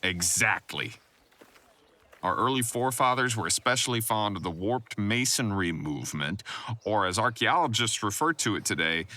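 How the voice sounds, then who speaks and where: A man's voice: lowish voice, bright, forceful tone, a little hiss or hum. A man voicing a prim, learned professor who lectures formally and precisely on a field trip in an audio drama.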